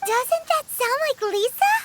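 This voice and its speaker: A woman's voice: very high voice, sing-song, unhurried, heavily acted. A woman voicing a tiny, chatty, inquisitive fairy companion in a video game, with a childlike, explanatory manner. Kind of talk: scripted game dialogue.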